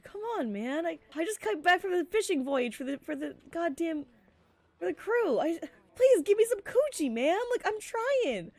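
A woman's voice: very high-pitched, very animated, normal speed, darkish tone, no echo, full character voice. A woman playing a lovelorn, desperate male sailor in a game roleplay, whining and pleading in disbelief. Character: whiny, desperate, lovelorn sailor man named Henry.

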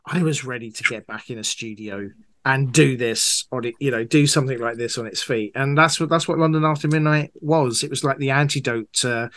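Male interview guest, medium pitch, casual conversational delivery. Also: a bit bright.

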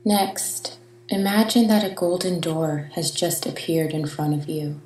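Female meditation narrator, lowish and gentle, very unhurried delivery, reverberant sound. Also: slight background noise. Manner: soothing, gentle, deliberate with long pauses. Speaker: meditation guide. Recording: reverberant, distant-sounding voice over soft music.

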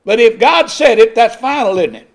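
Older man, high-pitched preacher, builds emphasis, chuckles now and then, pulpit mic. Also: animated, moderate pace. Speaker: pastor. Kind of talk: sermon. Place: church sanctuary at a pulpit. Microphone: pulpit microphone.

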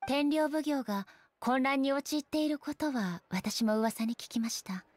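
Composed, high-voiced shogun goddess. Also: female voice, expressive, darkish tone, no echo. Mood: composed inquiry. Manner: formal, measured, stately. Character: composed, solemn female shogun deity, formal and reflective. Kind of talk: Japanese anime game voice acting.

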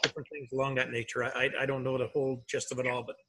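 A man's voice: mid-range voice, flat delivery, very fast, background noise. A man speaking informally as mayor, chairing a council meeting over a video call. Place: remote video call from a room.

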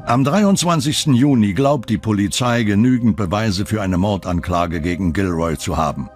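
A man with a fairly low voice narrates a true-crime documentary from a script. Faint music plays behind him. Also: moderate pace. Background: background music.

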